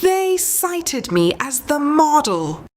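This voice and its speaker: Woman playing a high-voiced junior diplomat. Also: sing-song, takes it slowly, heavily acted. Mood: taken aback. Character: stubborn junior female diplomat, sharp-minded. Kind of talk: audio fiction character dialogue.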